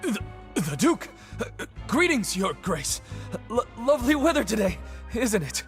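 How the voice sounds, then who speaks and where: An actor playing a nervous, fawning prison inmate who stammers and grovels, in video game dialogue. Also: male voice, extremely high pitch, sing-song, unhurried, a bit bright, soft background music, heavily acted. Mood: flustered. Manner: stammering, anxious, obsequious.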